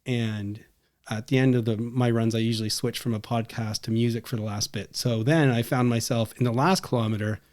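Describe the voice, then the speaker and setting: A man's voice: mid-range voice, steady tone, brisk, a little mellow. A middle-aged man with a Canadian accent, chatting casually and telling rambling anecdotes as a podcast co-host. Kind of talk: casual chat.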